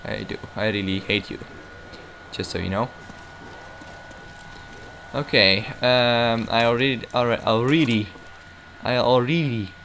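Slow-talking young man rambling over gameplay. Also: medium pitch, fairly bright tone. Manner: rambling, self-mocking, talks to the game. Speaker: gameplay commentator. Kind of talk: casual gameplay commentary. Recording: noisy game audio behind.

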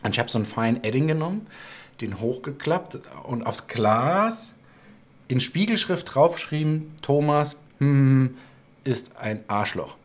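A man's voice: mid-range voice, a little slow, breathy edge. Chatty male host telling a story. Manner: chatty storyteller, animated when telling anecdotes. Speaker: talk show host. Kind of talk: casual video-call interview. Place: home studio room with bookshelves and colored lights.

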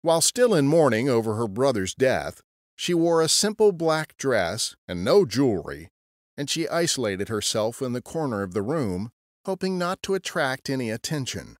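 A man's voice: medium pitch, very animated, moderate pace, no echo, studio quality. A man narrating a scripted history story as a podcast storyteller.

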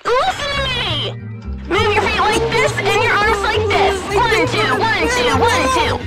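Squeaky, extremely high frantic little creature. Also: female voice, expressive, unhurried. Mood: insistent. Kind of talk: anime-style cartoon fandub acting. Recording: loud music under the voice.

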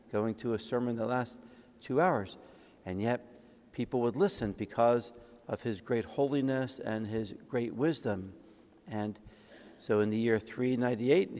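A middle-aged man delivers a homily from the front of a large church. His voice sits at an ordinary male pitch and rings with long reverb. He speaks in measured phrases, like a priest telling a saint's life and reading from old writings. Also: darkish tone. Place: large church sanctuary, at the ambo.

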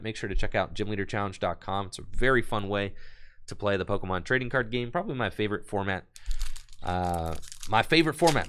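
A man opening card packs on a live stream, reading card text aloud and hyping his pulls for chat, his voice going hoarse. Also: on the low side. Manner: enthusiastic, hype-building, reads card text aloud. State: losing his voice.

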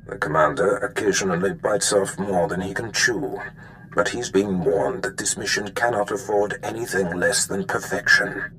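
An imperious Sith lord from a video game, giving orders in a lowish voice. He is measured and menacing, with faint ambient noise behind him. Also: a bit bright, low-quality recording. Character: stern, imperious male Sith master briefing his apprentice. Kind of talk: scripted video game acting.

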